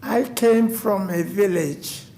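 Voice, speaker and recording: A man's voice: high voice, takes it slowly, mellow, slight background noise. A man in his nineties from West Africa, telling a formal personal story to a city board. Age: 90s. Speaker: resident addressing a code enforcement board. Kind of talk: personal testimony at a public meeting. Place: city council chamber.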